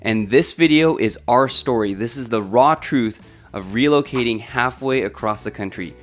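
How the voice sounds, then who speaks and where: A man's voice: mid-range voice, normal speed, faint music behind. A man in his thirties from California, talking casually to a vlog camera about his own life, with lots of fillers and restarts. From Los Angeles, California. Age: 30s. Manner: chatty, with frequent fillers and restarts. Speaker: YouTube host.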